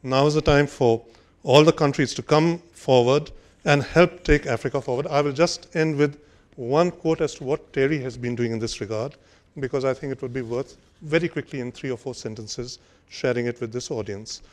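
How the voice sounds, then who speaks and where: Older man, conference speaker, podium microphone, formal speech, measured with fillers. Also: mid-range voice. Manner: measured, with frequent fillers and restarts. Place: large conference hall stage.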